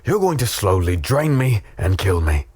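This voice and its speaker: A man playing a battered, weary human in a dark fantasy audio drama, wry and fatalistic as he tells his story. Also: sits low, very animated, moderate pace, breathy edge, no room sound, full character voice. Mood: despairing, pained.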